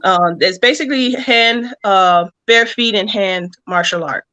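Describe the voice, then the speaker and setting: Bright-voiced woman explaining her work. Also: medium pitch, measured pace, low-quality recording. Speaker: comic creator and writer, interview guest. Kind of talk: conversational explanation.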